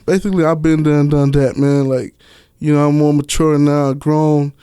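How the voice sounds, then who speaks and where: A man's voice: on the high side, little pitch movement, normal speed, warm tone, clear, resonant tone, dry recording. Male American interview guest, clean resonant tone, casual street-talk delivery, dry sound. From Miami, Florida, United States. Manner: emphatic, colloquial, peppers talk with "you know" and "man". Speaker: interview guest, author and stage play director. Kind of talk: casual interview chat, slangy.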